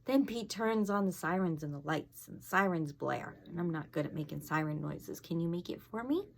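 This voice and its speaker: A woman's voice: on the low side, expressive, normal speed, gravelly. A woman fire inspector reading a storybook aloud to children, expressively, with casual asides and questions to her young listeners.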